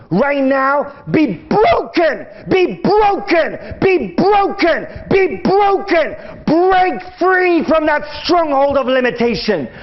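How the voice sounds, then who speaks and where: A man leading a fervent prayer, declaring release from limitation with repeated, commanding phrases. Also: extremely high pitch. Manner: repetitive commanding declarations, rising to shouts. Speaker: preacher. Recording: dull lo-fi sound.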